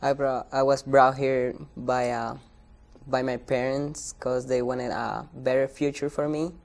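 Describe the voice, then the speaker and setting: A young man from Ecuador answers briefly as a panel guest in a TV studio. His voice is gravelly and flat, and his replies are plain and short. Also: mid-range voice, measured pace. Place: TV studio, panel at a long table.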